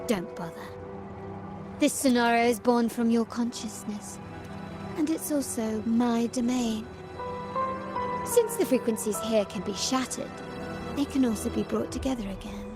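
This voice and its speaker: An actress playing a taunting doppelganger in a video game, with a theatrical, teasing, mocking manner. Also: female voice, fairly high pitch, a little slow, pauses often, over music, lightly acted. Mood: mocking, seductive. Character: taunting female doppelganger born of a noblewoman's memories.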